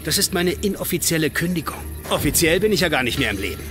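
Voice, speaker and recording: Man playing bitter agent, fairly high male voice, grim, insistent, radio thriller, music underneath. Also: expressive, quick pace, lightly acted. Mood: cold, commanding. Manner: grim, pressing. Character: bitter, determined rogue government agent holding a gun. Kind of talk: radio drama acting.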